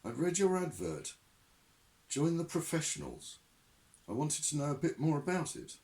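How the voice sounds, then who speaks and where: A man voicing a timid, nervous young man who speaks hesitantly and squeaks. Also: very animated, normal speed, gravelly, a little mellow.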